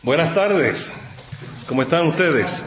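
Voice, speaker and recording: A man's voice: on the high side, animated, slow pace. A middle-aged or older man with a Puerto Rican accent, speaking as a guest professor in an informal, good-humoured public lecture. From Puerto Rico. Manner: good-humoured, self-aware asides. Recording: dull, muffled recording with clear background noise.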